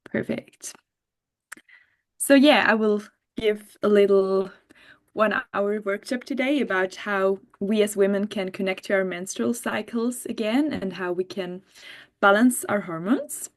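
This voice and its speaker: A young woman from Switzerland with a Swiss German accent, a new medical doctor, giving an online workshop in a relaxed way with frequent fillers and giggles. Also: mid-range voice, a little slow. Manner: warm, giggly, many fillers. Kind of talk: casual online workshop talk. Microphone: video call.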